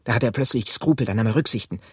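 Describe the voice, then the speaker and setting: An actor playing a cold, contemptuous young Russian revolutionary in a radio drama, with a husky voice and scornful emphasis. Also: male voice, medium pitch, rapid-fire, no room sound, studio quality. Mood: fierce scorn. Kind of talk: 1970s German radio drama acting.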